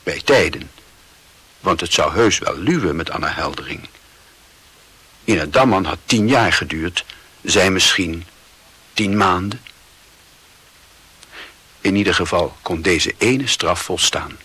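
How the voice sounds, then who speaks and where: A man with a deep, slightly forceful voice and a rough, husky edge narrates literary drama. His delivery is deliberate and reflective, with faint music behind him. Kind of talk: literary scripted narration in a radio play.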